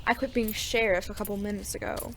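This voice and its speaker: A woman's voice: mid-range voice, fairly even intonation, fast, a bit bright, a little hiss or hum, lightly acted, low-quality recording. A woman playing a weary, honorable, teasing ex-sheriff gunslinger in a western audio drama, with a drawl of frontier slang. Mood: fond, wry. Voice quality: gravelly creak.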